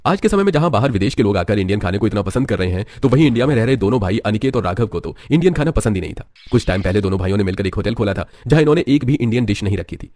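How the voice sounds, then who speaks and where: A man's voice: fairly low pitch, expressive, rapid-fire, few pauses, a little mellow, slight background noise. A man with an Indian accent narrating a scripted story as a storyteller.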